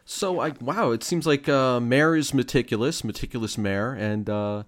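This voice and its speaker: A man's voice: medium pitch, moderate pace. Man hosting a chatty interview.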